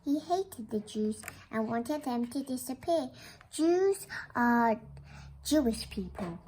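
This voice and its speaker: Little girl reading a kids book out loud, really high voice, bit of noise behind her. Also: animated, slow. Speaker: reader of a children's book. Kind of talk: read-aloud children's storybook narration. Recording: clear background noise.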